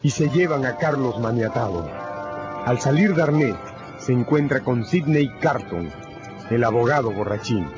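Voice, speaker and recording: Male storyteller over clear music. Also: medium pitch, animated, measured pace. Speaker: narrator. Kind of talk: scripted dramatic storytelling narration. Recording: dull radio recording with music.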